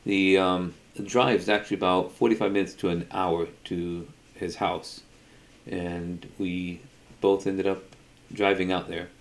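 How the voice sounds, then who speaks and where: A middle-aged man telling an unscripted personal story in a halting way, often repeating words as he goes. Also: sits low, flat delivery. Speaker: storyteller, video creator.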